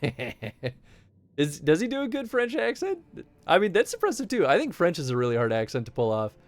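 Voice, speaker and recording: A man's voice: a little high, huge pitch swings, moderate pace, dry recording. A man chatting casually on a live game stream, reacting playfully and laughing often.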